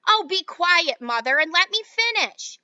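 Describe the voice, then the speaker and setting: A woman's voice: extremely high pitch, unhurried, pushed and bright, no echo, highs cut off, voice pushed up, heavily acted. A woman reading a story aloud and voicing a bratty young girl who talks while chewing. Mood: petulant. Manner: snappish, chewing.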